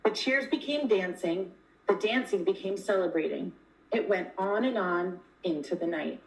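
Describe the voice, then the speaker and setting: A woman's voice: mid-range voice, moderate pace. Echoey, distant woman reading a storybook. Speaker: reader of a children's picture book. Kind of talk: read-aloud storytelling. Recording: echoey room, distant from the mic.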